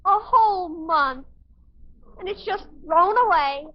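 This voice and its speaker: An actress playing a heartbroken, overwrought American teenage daughter in a 1950s radio sitcom, plaintive and melodramatic. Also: female voice, very high-pitched, slow. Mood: aggrieved. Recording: dull old radio recording.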